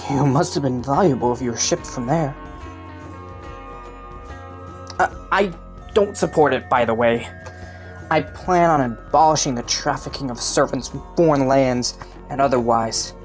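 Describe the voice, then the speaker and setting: A low-pitched young prince played by a woman speaks in an intimate audio drama over faint music. He is courteous and kind, a little hesitant and rambling, with a playful, teasing streak. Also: normal speed. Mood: curious, earnest. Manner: polite, hesitant, softly teasing.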